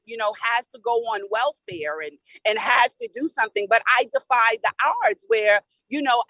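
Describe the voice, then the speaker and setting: A woman's voice: sits high, a bit bright, no room sound, low-quality recording. A middle-aged woman giving personal, motivational testimony in an emphatic, encouraging way. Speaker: panel guest.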